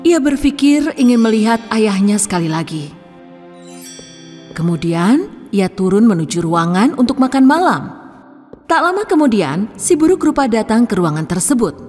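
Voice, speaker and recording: Female storyteller for children's fairy tales: fairly high, expressive scripted read, soft music underneath. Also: moderate pace, big-room sound.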